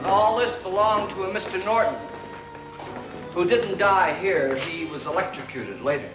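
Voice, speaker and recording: An actor playing a haunted, morbid believer in murderous ghosts in a 1950s horror film, speaking in a grave, brooding, ominous way. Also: male voice, high voice, normal speed, lightly acted. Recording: dull old film soundtrack, music behind.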